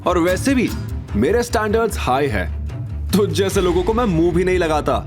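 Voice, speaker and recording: Man acting arrogant male rival, taunting, boastful, lively swings, audio drama, faint music. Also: high-pitched, moderate pace, pitched above the performer's own voice. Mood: smug taunting. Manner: smug, boastful.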